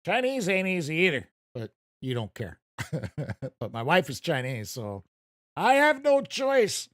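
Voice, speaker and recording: A man's voice: on the high side, huge pitch swings, unhurried, a bit bright, dry recording, clean studio sound. An American man casually chatting while streaming a farming game, rambling and poking fun at himself. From United States. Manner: rambling, self-deprecating, chuckles at himself. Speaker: gaming streamer. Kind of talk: casual live stream commentary.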